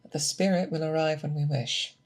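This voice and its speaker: A performer playing a seasoned monster hunter who patiently instructs an apprentice in a scripted ASMR roleplay, with hesitant, measured check-ins. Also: mid-range voice, steady tone, lightly acted. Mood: patient, encouraging.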